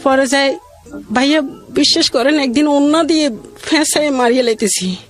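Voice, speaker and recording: A middle-aged woman with a colloquial regional Bangladeshi accent tells her own life story in a halting, rambling, plaintive way. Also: high-pitched, soft background music. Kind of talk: emotional personal storytelling.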